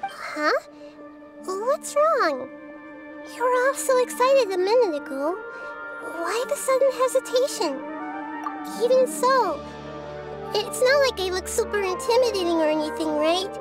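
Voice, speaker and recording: Small girl goddess, high voice, soft, thoughtful, game music behind. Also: lively intonation, measured pace, long pauses. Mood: gentle. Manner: soft-spoken, reflective, speaks in analogies. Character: childlike girl goddess, gentle, calm and thoughtful. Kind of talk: video game dialogue.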